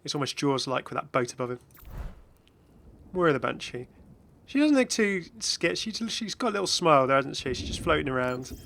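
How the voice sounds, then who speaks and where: A man's voice: on the high side, sing-song, brisk, gravelly, fairly bright tone. A man giving casual, chatty commentary as host of a gaming video. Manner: chatty, frequently chuckling.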